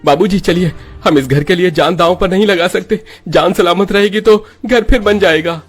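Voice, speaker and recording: A man's voice: sits high, moderate pace, husky, faint music behind, pitched above the performer's own voice. A man voicing a young village husband, urgent, protective and pleading, in a melodramatic story style. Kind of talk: melodramatic Hindi audio story acting.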